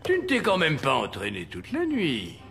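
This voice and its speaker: A man's voice: fairly high pitch, wildly swooping pitch, normal speed, gravelly, bright, forceful tone, slight background noise, exaggerated voice. An actor playing a jovial, fatherly old Santa Claus in a French cartoon, theatrical and encouraging. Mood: proud, fatherly. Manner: theatrical, encouraging, grandly paced. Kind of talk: French animated series dubbing.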